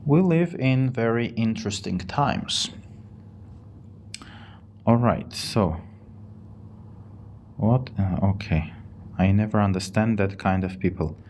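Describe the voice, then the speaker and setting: A man with an Armenian accent teaching English on a live stream. He talks in a casual way, reads the chat aloud, and repeats and rephrases words slowly for learners. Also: fairly low pitch, a little mellow, slight background noise.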